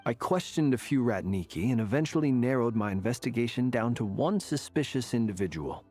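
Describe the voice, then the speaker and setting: A man playing a composed, cautious investigator in a video game, with a husky voice and measured, serious delivery. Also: mid-range voice, expressive, a little mellow, soft background music, natural voice. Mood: grave. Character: composed, cautious male investigator, calm leader.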